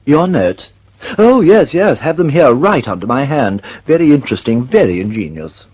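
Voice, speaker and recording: A man's voice: medium pitch, big pitch swings, moderate pace, darkish tone, husky. An actor playing a flustered, condescending male government official with an upper-class English accent in a radio drama. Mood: evasive, condescending. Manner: dithering, breezy, condescending. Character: flustered, dismissive, chummy upper-class male British bureaucrat.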